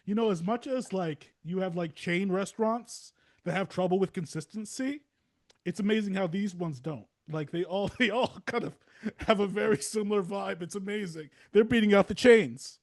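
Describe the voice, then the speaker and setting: A man with a notably high voice and an American accent hosts a casual podcast conversation. He rambles conversationally, often doubling back and repeating phrases for emphasis. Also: normal speed. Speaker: podcast host. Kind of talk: casual interview chat.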